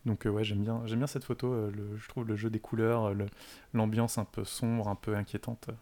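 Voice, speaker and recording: A man with a somewhat low voice, talking casually as a guest. He hesitates often, filling pauses and restarting his sentences as he describes something. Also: little pitch movement, dark tone. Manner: hesitant, many fillers and restarts. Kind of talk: casual chat.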